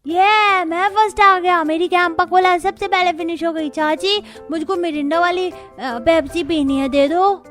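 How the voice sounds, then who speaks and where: Woman doing super high little kid, boastful and eager, cartoon, dry sound. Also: moderate pace, hardly any pauses, fairly bright tone, no echo, soft background music. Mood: excited. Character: excitable, greedy small child grandchild.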